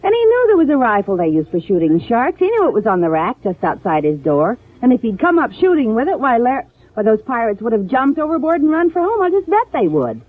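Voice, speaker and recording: A spoiled young socialite from 1950s radio drama, coy, gushing and evasive. Her husky voice swings freely on a narrow, dated recording. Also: fairly high pitch, wildly swooping pitch, fairly quick, dry recording. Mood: scornful. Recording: narrow-band, dry old radio recording.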